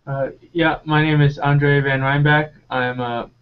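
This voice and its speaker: A man's voice: on the high side, level tone, unhurried, phone-quality sound, slight background noise. A man in his twenties from North Carolina, a graduate student on a live Q&A panel, explaining steadily with many "uh" fillers.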